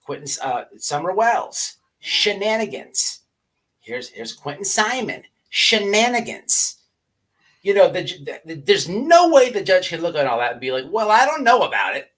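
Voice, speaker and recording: A man's voice: high voice, sing-song, moderate pace, a bit bright. A man hosting a casual livestream, ranting and chatting to viewers in profane slang, often stammering and repeating his words. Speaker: livestream host.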